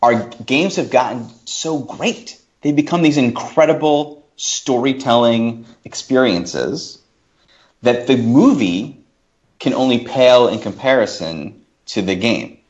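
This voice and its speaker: A man's voice: mid-range voice, expressive, slow pace. A man talking as a podcast panelist, thoughtfully working through and qualifying his points in casual discussion. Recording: slightly dull, lo-fi podcast audio.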